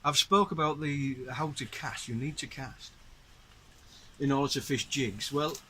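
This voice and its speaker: A man's voice: medium pitch, a little slow, bright, forceful tone, slightly rough. A middle-aged man from the north of England with a Northern English accent, chatting patiently to viewers as he explains his gear. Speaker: host. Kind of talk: casual show-and-tell demonstration.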